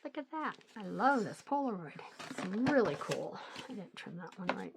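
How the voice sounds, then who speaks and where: A middle-aged woman hosting a crafting channel, a little hoarse, chatting in a relaxed, rambling way while she works. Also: mid-range voice, moderate pace, heavy background noise. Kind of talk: casual chat while crafting.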